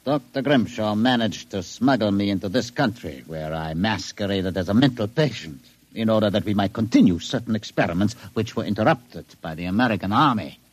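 A grandiose mad doctor in a classic radio drama. His voice is rough and husky, with highly animated intonation. He speaks with pompous, gloating formality. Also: brisk, low-quality recording. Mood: smug. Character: mad male German doctor posing as deranged count, gloating, grandiose. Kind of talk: old-time radio drama acting.

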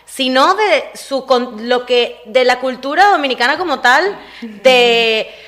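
A woman's voice: sits high, animated, slow pace, a bit bright. A Venezuelan woman chatting casually in a group, often restarting her sentences.

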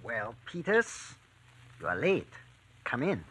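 A stern, suspicious spymaster from old radio crime drama, curt and commanding. His pitch moves a lot, over a noisy, clicking old recording. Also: very animated, slow pace. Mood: suspicious, scolding. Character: stern, suspicious male foreign spy giving orders. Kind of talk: 1940s radio drama acting.

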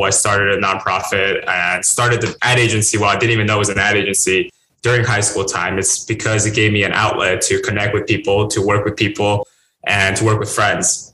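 A man's voice: on the low side, bright, forceful tone, a little hiss or hum. A young man from China whose first language is Chinese, answering interview questions in earnest, rambling answers with restarts. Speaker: guest entrepreneur. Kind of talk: casual podcast interview. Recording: roomy echo.

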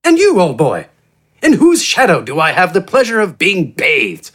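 A man voicing an eloquent, friendly, pompous gentleman doctor in an audiobook, with a relaxed and flowery flow of words. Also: high-pitched, moderate pace, husky, voice pushed up, full character voice. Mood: suave.

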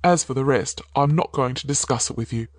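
A woman's voice: very deep voice, a little fast, a little mellow, no echo, clean studio sound, voice pushed down. A woman reading an audiobook, voicing an earnest young man who pleads urgently and then speaks firmly. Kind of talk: audiobook character voice.

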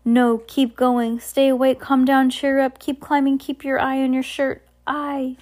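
A woman's voice: high-pitched, flat delivery, a little mellow, voice pushed up. A woman voicing a cheerful, scattered, enormous man in a storybook, who rattles off contradicting commands. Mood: bossy, scatterbrained. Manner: rapid self-contradicting commands.

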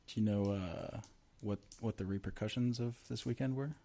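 A man co-hosting a casual sports podcast, teasing his co-host and getting animated. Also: lowish voice, moderate pace.

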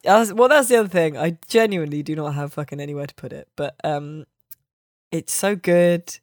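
A podcast host with a mid-pitched voice, recorded dry and close. The talk is casual games chat, full of swearing and wry jokes. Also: normal speed, no echo.

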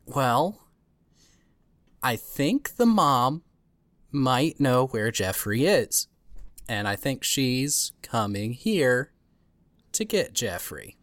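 Woman acting a halting, very deep-voiced nervous woman. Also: very animated, no room sound, heavily acted. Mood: tentative, worried. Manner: halting, tentative, stammering. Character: nervous, hesitant, kindly woman who pleads gently. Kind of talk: hobby audio drama acting.

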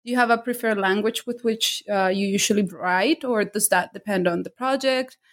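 A woman's voice: medium pitch, normal speed. Enthusiastic woman hosting an interview. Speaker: podcast host, interviewer. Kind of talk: interview hosting with a read introduction.

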